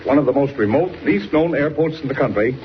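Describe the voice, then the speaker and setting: An actor playing a brisk, gruff middle-aged newspaper editor in a 1940s radio drama. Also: male voice, mid-range voice, animated, lightly acted. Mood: businesslike. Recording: noisy, muffled 1940s radio serial recording.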